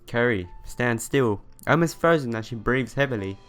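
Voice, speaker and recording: Guy doing a let's play, reads story text out loud, smooth clear voice, mid pitch, soft music behind. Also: lively intonation, moderate pace. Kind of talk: reading visual novel narration aloud with casual asides. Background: background music.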